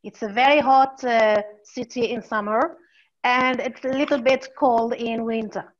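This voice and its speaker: Middle-aged woman, Moroccan accent, teacher on a call: slow delivery, slightly high voice, lo-fi sound. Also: steady tone. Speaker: English teacher, guest. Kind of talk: informal self-introduction on a video call. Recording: dull, lo-fi call audio.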